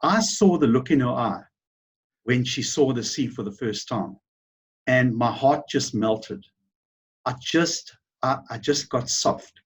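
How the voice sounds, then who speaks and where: A man's voice: medium pitch, slow pace, slightly rough. A middle-aged man with a South African accent, telling his story as a guest in an interview, rambling with many fillers and restarts. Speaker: business founder, guest.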